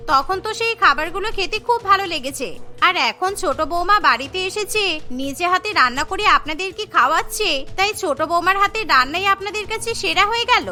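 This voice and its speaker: A woman plays a spiteful, snobbish young daughter-in-law in a cartoon family drama. Her voice is very high and piercingly bright, full of scolding and sneering boasts. Music plays clearly behind her. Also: full character voice. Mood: accusing, furious.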